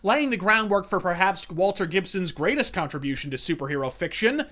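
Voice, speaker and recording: A man's voice: high voice, animated, fairly quick, a bit bright. A man hosting a scripted comedic commentary, delivering emphatic, profane, wordy rants as a reviewer. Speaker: host and reviewer.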